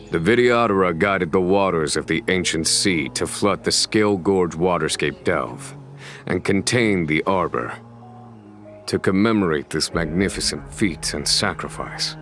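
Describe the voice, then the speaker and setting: An actor playing a grave, composed general in a video game cutscene, speaking in a solemn, deliberate and formal way. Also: male voice, low voice, a bit bright. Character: grave, composed male general, measured and commanding.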